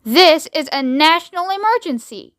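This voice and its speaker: A woman voicing a pompous, short-tempered male President with a rasping voice in a children's audiobook, barking orders. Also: high-pitched, expressive, brisk, bright, forceful tone, no room sound, studio quality. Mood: commanding.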